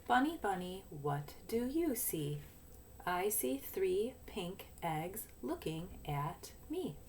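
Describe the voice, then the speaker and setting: A woman teacher giving a lesson and read-aloud for young children, explaining simply and deliberately, step by step. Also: medium pitch, sing-song, a little mellow, a little hiss or hum. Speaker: early primary school teacher.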